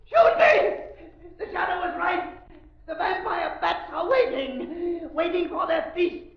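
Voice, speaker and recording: An actor playing a crazed, villainous doctor in a hysterical, menacing 1930s radio thriller style. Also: male voice, very high voice, expressive, slow, vocal fry, a faint crowd, full character voice. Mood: hysterical raving. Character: crazed villainous doctor, a murderer losing his mind. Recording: dull vintage 1930s radio recording.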